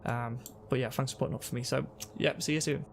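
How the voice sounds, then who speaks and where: A young man with a sore throat and a congested voice, calmly explaining a game to viewers on a headset mic. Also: medium pitch, fast, vocal fry, slight background noise. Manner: relaxed, explanatory, talks to viewers. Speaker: gaming youtuber. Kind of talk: casual gameplay tutorial. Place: home room at a desk.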